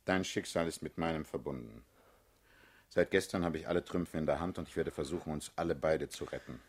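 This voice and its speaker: A man's voice: rumbling low, brisk, slightly rough. An actor in a radio play, playing a middle-aged political leader who is fatherly, self-assured and mocking, with a persuasive, commanding manner. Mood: reassuring. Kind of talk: German radio play acting.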